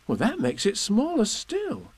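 Excitable storybook boy, high and bright, bouncy pitch, done by a male reader. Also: unhurried, breathy edge, no room sound, full character voice. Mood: eager. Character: eager young boy, the bear's friend.

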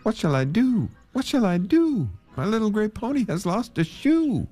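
A man's voice: high-pitched, huge pitch swings, normal speed, warm tone, soft background music, pitched above the performer's own voice, full character voice. A man voicing an anxious pony owner who pleads in a sing-song refrain. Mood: fretful pleading. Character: fretful man who lost his pony's shoe.

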